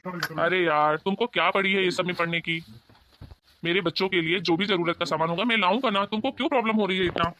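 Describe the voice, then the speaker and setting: An actor playing a placating, exasperated husband who avoids conflict in an animated family story. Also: male voice, high voice, fast talker.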